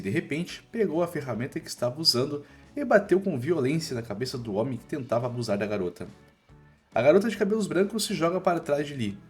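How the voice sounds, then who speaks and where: A Brazilian man narrating a scripted comic recap in a colloquial, dramatic storytelling manner. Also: medium pitch. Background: music.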